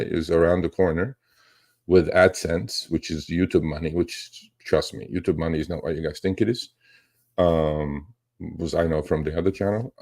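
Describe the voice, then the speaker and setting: This is a man with a deep, low-set voice, speaking as the host of a casual live stream. He talks without a script in a rambling but emphatic way, like a trader teaching his audience. He regularly tags his points with little check-ins to make sure people follow. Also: darkish tone. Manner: rambling, emphatic, often checks with "okay?" and "right?". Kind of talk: casual unscripted livestream talk.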